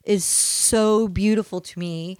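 A woman's voice: mid-range voice, fairly even intonation, slow pace, a little mellow, clean tone, no echo. Frank, wry middle-aged woman. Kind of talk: casual chat.

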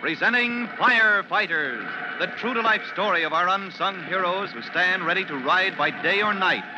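A man's voice: high voice, normal speed, loud music behind the voice. A man announcing a vintage 1950s radio drama, narrating from a script in a dramatic, declamatory manner. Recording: noisy vintage radio recording.

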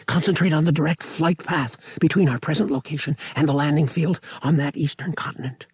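A man's voice: a little high, brisk, darkish tone, husky, no echo, clean studio sound, pitched above the performer's own voice. A man voicing a tough, impatient young space pilot who speaks curtly and gives orders under strain. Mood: tense, clipped. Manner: curt, commanding.

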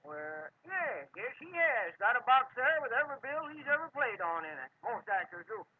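Cranky little old watchman, high swoopy voice, folksy american, old radio sound. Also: very animated, slightly rough, phone-quality sound, heavily acted. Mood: folksy, musing. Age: older. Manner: folksy, halting, crotchety. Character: little old male theater night watchman, folksy, stubborn. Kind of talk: 1940s radio drama character acting. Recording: thin, hissy old radio recording.

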